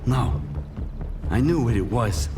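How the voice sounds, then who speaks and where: A desperate wounded dealer over music. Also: male voice, fairly low pitch, big pitch swings, slow, recorded from a distance. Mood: grim, tense. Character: wounded male drug dealer, desperate, proud, defiant. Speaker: actor narrating a crime audio drama. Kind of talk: first-person noir crime audio drama narration. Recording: studio voice under prominent music.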